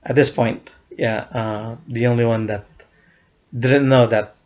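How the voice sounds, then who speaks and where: A man's voice: medium pitch, fairly even intonation, unhurried, darkish tone. A young man casually recounting a fan event in a vlog, rambling with many fillers and restarts, often chuckling. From United States.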